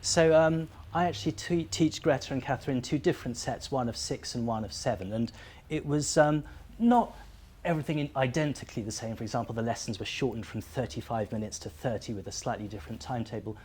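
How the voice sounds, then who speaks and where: A male teacher hosts a relaxed interview with his students, speaking in a friendly, conversational way. His pitch sits in the middle, neither low nor high. His talk is dotted with small "uh" fillers as he moves between questions. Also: fast, breathy edge. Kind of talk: school promo interview.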